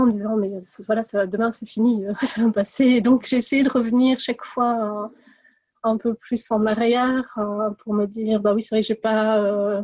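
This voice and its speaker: A woman's voice: a little high, dark tone, dry recording, dull audio. Hesitant woman in her forties. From India. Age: 40s. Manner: hesitant, many fillers and restarts. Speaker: podcast guest. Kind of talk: personal testimony.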